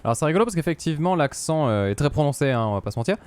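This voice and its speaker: A man's voice: a little high, lively intonation, very fast, a little mellow, smooth. A French man giving casual live commentary as a streamer playing a game.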